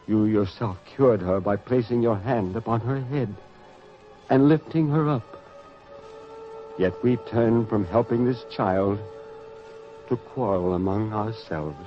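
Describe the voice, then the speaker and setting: A male actor plays a humble, remorseful male disciple, solemn and unhurried. His voice is fairly low, in a religious radio drama style. The recording sounds muffled. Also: soft background music, lightly acted. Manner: solemn, measured, penitent. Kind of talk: old-time religious radio drama acting.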